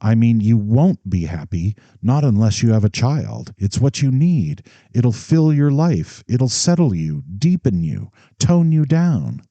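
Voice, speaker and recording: A man's voice: medium pitch, very animated, a little slow, hardly any pauses, mellow, dry recording, pitched above the performer's own voice. Man doing an anxious father, earnest, urging, voice lifted above his own, dry close sound. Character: middle-aged father, anxious and fumbling.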